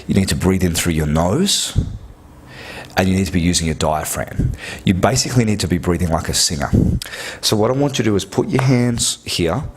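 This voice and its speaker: Low, husky man coaching breathing. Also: a little fast. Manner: earnest, direct, repeats himself, coaching. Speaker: health clinic practitioner. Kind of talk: instructional talk to camera.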